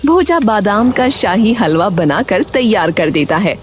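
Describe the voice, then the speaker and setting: A woman reading scripted narration for an animated story as its storyteller. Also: mid-range voice, unhurried, soft background music.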